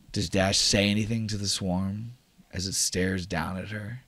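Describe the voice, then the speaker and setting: A man's voice: low voice, steady tone, takes it slowly, a bit bright. A man running a tabletop roleplaying game as game master, narrating vividly with frequent fillers like "like.".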